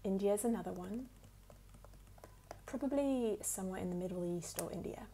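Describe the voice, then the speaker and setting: A woman from the United States with a put-on English accent, speaking softly and at times whispering as she unhurriedly answers questions for an ASMR video. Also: mid-range voice, normal speed, background noise. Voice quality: soft, partly whispered.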